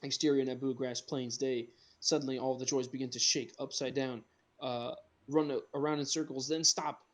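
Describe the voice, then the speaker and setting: A man's voice: medium pitch, fairly even intonation, moderate pace. A man reading screenplay directions aloud as the narrator of a group script reading.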